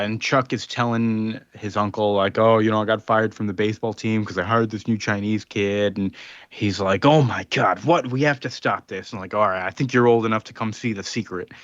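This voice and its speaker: A man's voice: on the low side, fairly even intonation, normal speed, a bit bright, no echo, muffled recording. A man casually recapping a comic book plot on a podcast, rambling with filler words and little laughs. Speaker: podcast co-host.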